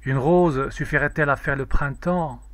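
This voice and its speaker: A man reciting a poem in a measured, declamatory, solemn way. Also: mid-range voice, fairly bright tone, dry recording. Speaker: poetry reader.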